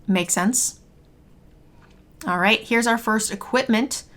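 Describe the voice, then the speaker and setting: Hoarse young woman hosting. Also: fairly high pitch, slow, fairly bright tone. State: hoarse, losing her voice. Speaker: host. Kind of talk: card game review chat. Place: home streaming room, indoors.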